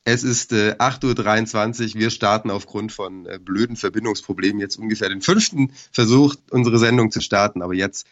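Low-voiced guy with a cold, kinda hoarse, lots of ähs, muffled lo-fi sound. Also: a little fast, few pauses. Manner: hesitant, many filler sounds. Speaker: podcast co-host. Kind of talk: casual sports chat.

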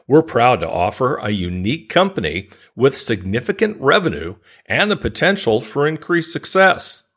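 A man's voice: mid-range voice, fairly bright tone. A man hosting a podcast, reading scripted promos and introductions and putting questions to a guest. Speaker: podcast host.

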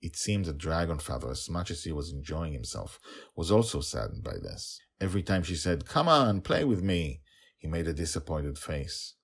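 A man hosting and narrating a story podcast, moving between conversational talk and scripted reading with deliberate, drawn-out announcements. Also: rumbling low, creaky. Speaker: podcast host and author-narrator.

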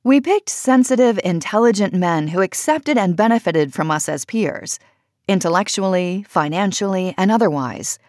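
A woman reading a personal essay as audiobook narration, in measured, expressive phrasing. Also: medium pitch, dry recording, studio quality.

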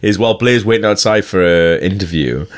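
A man's voice: sits low, fairly quick. A man co-hosting a podcast, chatting casually about a TV episode, laughing often and swearing freely. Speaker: podcast co-host. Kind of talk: casual chat reviewing a TV episode.

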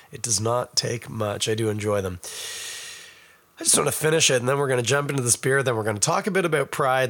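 A middle-aged Canadian man, hoarse and raspy from a cold, hosting a casual podcast and rambling in a self-mocking way to viewers. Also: medium pitch, fairly bright tone. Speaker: podcast host. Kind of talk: casual live-stream chat.

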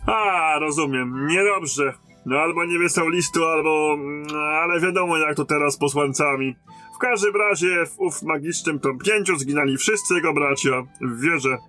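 An actor playing a weary lieutenant in command of a besieged garrison in a fantasy audio drama. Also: male voice, fairly high pitch, normal speed, pushed and bright, faint music behind. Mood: regretful, explaining.

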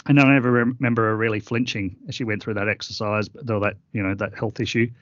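A middle-aged man guesting on an interview, talking earnestly and haltingly with many fillers. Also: fairly low pitch, flat delivery, no echo, highs cut off. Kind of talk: reflective interview conversation.

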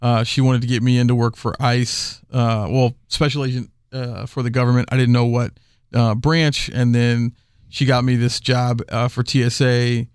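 A man's voice: medium pitch, flat delivery, normal speed, clear, resonant tone, dry recording, clean studio sound. A man talking casually as a podcast guest, rambling with many fillers and restarts. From Houston area. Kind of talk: casual conversation.

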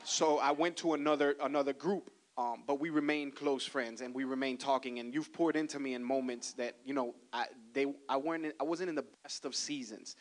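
A man's voice: medium pitch, steady tone, a little fast, few pauses. A male guest pastor, talkative and joking, opening his sermon to the congregation. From Cuban heritage. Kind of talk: sermon opening, casual and chatty.

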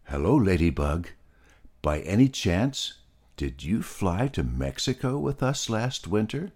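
A man voicing a gentle, absent-minded male pig who talks in a mild, wondering way. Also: low voice, big pitch swings, measured pace, husky, voice pushed up, heavily acted. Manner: gentle, dreamy.

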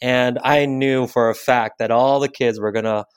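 Male guest, Korean native speaker, reflective storyteller, mid-pitched. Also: steady tone, normal speed, smooth, no room sound. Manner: thoughtful, personal storytelling. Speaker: podcast guest. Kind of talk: reflective conversational explanation.

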